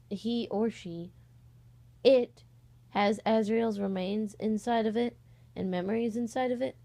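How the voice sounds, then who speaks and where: A young woman talks casually to her online followers, rambling a little and often pausing to correct herself. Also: a little high, a little mellow. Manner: rambling, hesitant, self-correcting. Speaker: online voice-acting channel host. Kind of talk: casual vlog, audition call.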